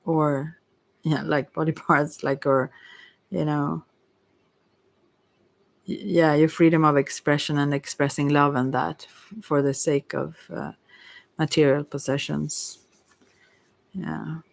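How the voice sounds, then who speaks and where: Low-voiced woman giving a soft tarot reading. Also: flat delivery, slow pace, gravelly, pauses often. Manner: soft-spoken, rambling, hesitant, giggly asides. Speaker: tarot reader and ASMR host. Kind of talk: casual ASMR tarot reading.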